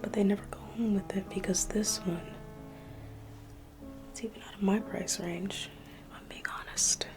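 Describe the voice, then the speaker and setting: A woman's voice: medium pitch, fairly even intonation, normal speed, pauses often, music in the background. A young woman whispering an ASMR roleplay as a museum guide, playful and teasing like a salesperson.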